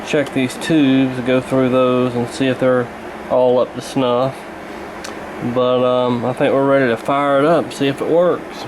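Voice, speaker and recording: Man, middle pitch, slow and halting, matter-of-fact, casual hands-on demonstration, clear background noise. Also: flat delivery. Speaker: radio repair hobbyist presenting. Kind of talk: casual repair demonstration narration.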